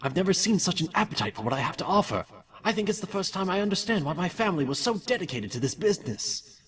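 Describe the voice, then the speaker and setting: A man's voice: high voice, big pitch swings, fast talker, distant mic, noisy background. A man playing an obsessive villainous candy maker in an audio drama, dictating experiment notes with clinical, theatrical self-satisfaction. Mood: smug, clinical.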